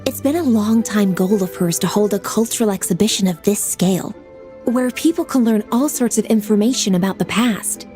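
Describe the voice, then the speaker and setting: A woman's voice: mid-range voice, normal speed, warm tone, soft background music, lightly acted. A woman voicing a diligent young woman official in a video game, composed and formal. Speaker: government official.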